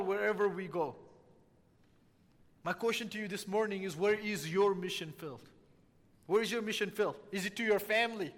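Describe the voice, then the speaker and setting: A man's voice: high-pitched, fairly bright tone, long reverb tail. A man from Nepal, preaching an earnest sermon as a missionary and repeating key phrases for emphasis.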